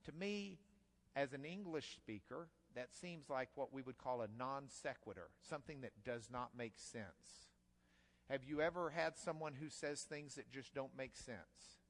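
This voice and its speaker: A man preaching an expository sermon in a conversational, folksy way that builds to emphatic bursts, in a church sanctuary. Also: medium pitch. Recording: long hall reverb.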